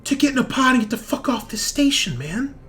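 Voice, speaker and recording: Man acting panicky, foul-mouthed guy, voice pitched up. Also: big pitch swings, fairly quick, a bit bright, faint music behind, full character voice. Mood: panicked, indignant. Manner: swearing, slangy, exasperated. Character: foul-mouthed, panicky male chef, pleading and slangy.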